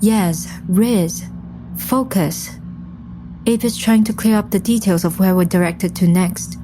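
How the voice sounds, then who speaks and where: An actress playing a composed, no-nonsense agent, narrating and speaking in a measured, matter-of-fact way. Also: female voice, mid-range voice, background noise, lightly acted. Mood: firm. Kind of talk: first-person audio drama narration.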